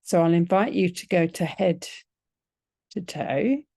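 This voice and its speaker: A woman teaching yoga nidra, leading a guided relaxation in a gentle, soothing, unhurried manner with long pauses. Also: fairly low pitch, lively intonation, no echo, clean studio sound.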